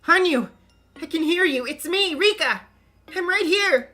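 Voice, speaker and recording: A woman's voice: high voice, expressive, moderate pace, faint music behind. A woman voicing a frantic young shrine girl. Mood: frantic, pleading. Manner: loud, urgent calling. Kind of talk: story reading with voiced characters.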